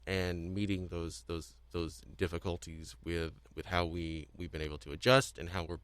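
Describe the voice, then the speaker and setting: A young man with a fairly low voice hosts a casual audio discussion. He talks in a halting, conversational way, doubling back on words. The recording is dry, with hardly any room sound. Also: level tone, fairly bright tone, smooth, no echo. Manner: halting, repeats words, agreeable interjections. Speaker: podcast host.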